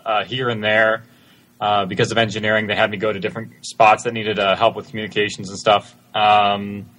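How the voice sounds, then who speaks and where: A man's voice: lowish voice, little pitch movement, fairly quick, fairly bright tone, poor audio. A man answering questions casually as a creator being interviewed on a podcast. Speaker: interview guest, audio drama creator.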